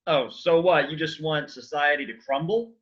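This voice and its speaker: A man's voice: fairly high pitch, normal speed, fairly bright tone, close to an everyday voice. A man playing an earnest, argumentative man who wants to die, in a staged reading with dull sound. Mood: righteous. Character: earnest, argumentative, despairing man set on dying.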